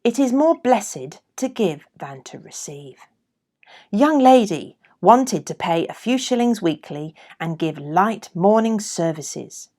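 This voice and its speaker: A woman reading old letters and adverts aloud in a deliberate, measured way, as a podcast host. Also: mid-range voice, lively intonation, dark tone.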